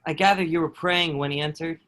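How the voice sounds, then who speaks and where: A man's voice: fairly high pitch, fairly even intonation, fairly quick, a bit bright, close to an everyday voice. A man playing a protective, steadfast soldier and loyal friend in a historical play reading, speaking earnestly in formal period phrasing. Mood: gentle, sympathetic. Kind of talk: dramatic table read of historical play.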